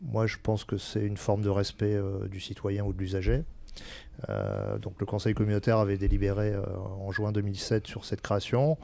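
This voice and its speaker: A man's voice: fairly low pitch, flat delivery, moderate pace, vocal fry, dark tone, no echo. A man giving explanations as an interview guest, candid and often searching for his words. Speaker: senior local-government administrator, interview guest.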